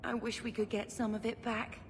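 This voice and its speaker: An actress playing an earnest, concerned young woman in a fantasy game, gentle and questioning. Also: a little high, little pitch movement, a little fast, big-room sound, background noise, lightly acted. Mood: troubled. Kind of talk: fantasy video game acting.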